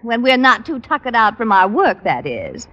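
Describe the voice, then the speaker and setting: A woman's voice: on the high side, wildly swooping pitch, moderate pace, bright, forceful tone, lo-fi sound, full character voice. An actress playing a coquettish woman teacher, a rival whose gushing, affected sweetness carries barbs, in an old radio sitcom. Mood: sugary, weary. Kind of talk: 1940s-50s radio sitcom acting.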